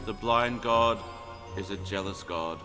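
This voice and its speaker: An actor playing a stern oracle in a fantasy game, declaiming cryptic pronouncements with command. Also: male voice, lowish voice, measured pace, lightly acted. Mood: stern, proclaiming. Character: stern male oracle proclaiming a jealous god. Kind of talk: scripted fantasy game acting. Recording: long hall reverb, faint music.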